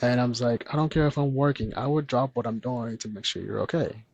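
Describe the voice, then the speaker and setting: A man speaking gently and earnestly as a podcast guest, with a soft, soothing voice. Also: medium pitch, brisk, creaky, lo-fi sound, a little hiss or hum. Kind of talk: candid conversational interview.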